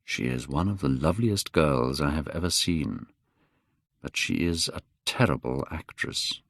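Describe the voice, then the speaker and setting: A man voicing a languid, worldly gentleman with a lowered, offhand drawl, in a dry audiobook recording. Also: big pitch swings, unhurried, husky, no room sound, full character voice.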